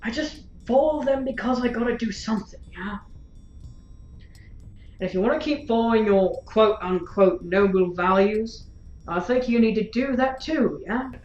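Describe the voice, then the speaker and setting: A woman's voice: fairly high pitch, moderate pace, darkish tone, lightly acted. A woman playing a blunt, flippant, fatalistic companion in an audio drama, talking casually with frequent tag questions. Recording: roomy, dull sound with faint music.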